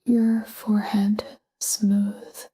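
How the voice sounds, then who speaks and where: Breathy whispering woman guiding sleep, very slow. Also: medium pitch. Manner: calm, gentle, unhurried with short phrases. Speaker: sleep meditation guide. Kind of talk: whispered guided sleep meditation.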